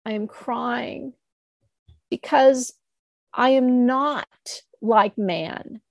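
A woman voicing a sad male moon spirit who is crying, speaking slowly and mournfully. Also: on the high side, vocal fry, dry recording, clean studio sound, full character voice.

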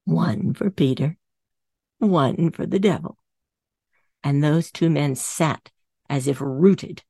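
An older woman from the American Midwest who tells stories, narrating softly and slowing down for effect, then chatting in an interview. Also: deep voice, no echo, clean studio sound. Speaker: storyteller. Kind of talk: storytelling and interview chat.

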